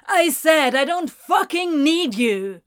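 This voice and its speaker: A woman voicing a volatile young man who taunts and shouts. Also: sits high, animated, slow, dry recording, studio quality, voice pushed up. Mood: shouting. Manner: taunting and loud.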